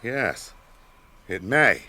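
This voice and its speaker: An actor playing a grave, watchful ranger guide in a radio drama, speaking in measured British English like a storyteller. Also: male voice, fairly low pitch, big pitch swings, pushed and bright, full character voice.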